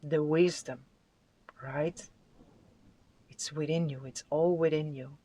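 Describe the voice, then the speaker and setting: A tarot card reader giving a spiritual reading with affirmations, in a soft, soothing and unhurried voice that sometimes drops to a whisper. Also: mid-range voice, fairly even intonation. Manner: soft, soothing, unhurried delivery with drawn-out phrases.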